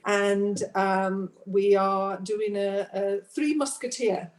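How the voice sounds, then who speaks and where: Slow, hesitant woman in an echoey room. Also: medium pitch, fairly even intonation. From Spain. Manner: hesitant, many fillers. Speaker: conference presenter. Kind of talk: opening a conference presentation.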